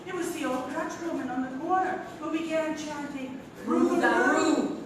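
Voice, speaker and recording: A woman's voice: sits high, normal speed, close to an everyday voice. A woman playing an archivist who reads an old diary aloud dramatically. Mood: frightened, intense. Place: live stage in a theatre. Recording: very echoey noisy theatre, far off.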